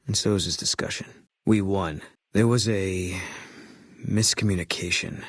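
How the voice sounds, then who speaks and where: Grim burned-out sniper, real low husky voice, short flat answers. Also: male voice, dry recording, full character voice. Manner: terse, clipped, flat and guarded. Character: grim ex-soldier sniper, curt and haunted. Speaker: companion character. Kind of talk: video game voice lines. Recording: dry studio sound.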